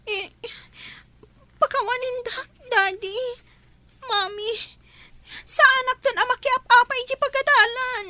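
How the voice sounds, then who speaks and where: A woman's voice: extremely high pitch, fairly even intonation, measured pace, pauses often, pushed and bright, a little hiss or hum, heavily acted. A woman acting a spoiled, quarrelsome, whiny schoolgirl in an Ilocano radio drama. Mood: pleading, whiny.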